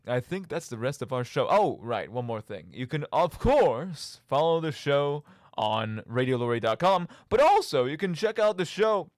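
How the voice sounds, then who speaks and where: A man's voice: a little high, dry recording, studio quality. A young man hosting a radio show, talking casually about video games in a rambling, jokey, self-deprecating way. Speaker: radio show and podcast host.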